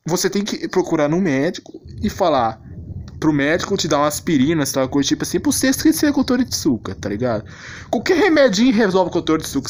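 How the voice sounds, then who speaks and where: Slangy Brazilian man ranting, pitch swinging widely. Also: darkish tone. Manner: slangy, rambling, mock-outraged. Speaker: video creator. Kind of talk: casual ranting commentary on anime characters. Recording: noisy home recording with clicks.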